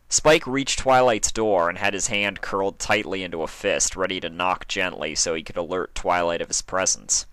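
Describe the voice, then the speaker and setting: A man reading a fan fiction story aloud as narrator, in a deliberate, theatrical way. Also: low voice, fairly bright tone, no room sound. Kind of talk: scripted dramatic story narration.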